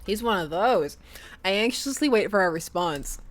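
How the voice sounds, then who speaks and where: A woman's voice: mid-range voice, animated, moderate pace. A young woman streaming a dating game, chatting with viewers, laughing often and reading the game text aloud. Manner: giggly, laughs often between lines; chatty, asks viewers questions. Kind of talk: casual let's-play chat, reading game text aloud.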